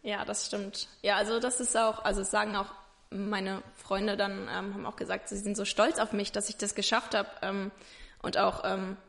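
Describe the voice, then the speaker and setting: A woman's voice: mid-range voice, level tone, normal speed, vocal fry, fairly bright tone. A woman in her twenties talking about her own life in an interview, hesitant and searching for words, with many fillers. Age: 20s. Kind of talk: personal conversation.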